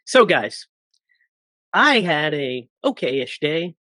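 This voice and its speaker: A man in his thirties hosting a casual podcast, hoarse from a cold and joking around with his co-hosts. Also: a little high, fairly bright tone, no echo, clean studio sound. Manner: joking, laughs often. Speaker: podcast host.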